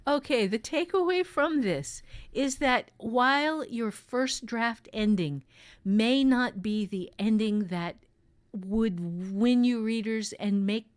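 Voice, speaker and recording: A woman's voice: fairly high pitch, slow, no echo. A woman hosting a podcast as a writing teacher, chatting casually in a folksy, emphatic way.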